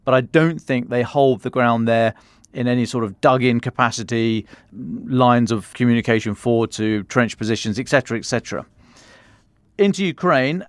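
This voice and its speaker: A man with a British accent giving a measured, explanatory news analysis briefing as a podcast commentator, with the odd colloquial turn. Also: mid-range voice, no echo, studio quality.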